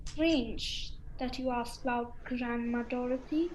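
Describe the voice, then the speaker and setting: A woman's voice: fairly high pitch, fairly even intonation, takes it slowly, a little hiss or hum, poor audio. A woman playing a solicitous, gossipy countess of a spooky castle in a student radio play, genteel and theatrical. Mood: wistful, gossipy.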